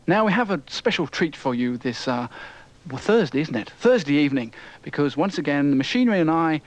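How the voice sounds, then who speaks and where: A man's voice: a little high, expressive, fairly quick, rough recording. A man announcing on radio, informal and apologetic about technical trouble. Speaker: radio presenter.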